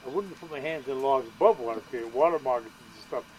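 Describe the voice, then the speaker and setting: A man's voice: mid-range voice, fast talker, a little mellow. A man chatting casually and in a rambling way outdoors on a camping trip. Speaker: camper on a family trip. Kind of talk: casual chat. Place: outdoor campsite by a lake. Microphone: camcorder microphone. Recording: noisy camcorder audio outdoors.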